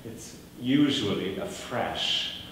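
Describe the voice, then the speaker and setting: A man's voice: fairly low pitch, lively intonation, fairly bright tone, a little hiss or hum. Man speaking in an echoey hall. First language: Welsh. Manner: measured, thoughtful, with many fillers and restarts. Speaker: performer giving a talk. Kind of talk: lecture answering audience questions. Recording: echoey hall recorded from a distance.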